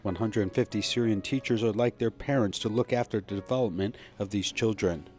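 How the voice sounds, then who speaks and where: Male news voice-over, low and steady, measured scripted read. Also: faint music behind. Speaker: news narrator.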